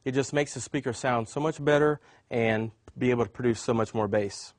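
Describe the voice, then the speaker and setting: Man, mid-pitched voice, dry close sound, product demonstrator, instructional, explanatory step-by-step delivery. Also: a little fast, no room sound.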